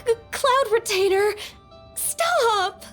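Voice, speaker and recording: Sweet dutiful young woman disciple from a game, high voice, polite and earnest, faint music behind. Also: lively intonation, extremely slow, a bit bright, heavily acted. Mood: embarrassed. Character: gentle, dutiful half-immortal young female disciple. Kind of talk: video game character acting.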